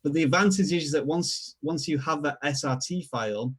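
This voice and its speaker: Yorkshire man, relaxed and halting. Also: on the high side, husky. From England. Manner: relaxed, hesitant with many fillers, self-deprecating. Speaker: guest expert. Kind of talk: casual online show chat.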